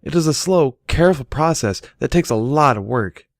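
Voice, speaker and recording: Man putting on a high, shouting cartoon pony mare. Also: expressive, moderate pace, no room sound, studio quality, close to an everyday voice. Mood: loud, instructive. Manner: shouting, shrill falsetto. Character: excitable female cartoon pony brewer, loud and indignant.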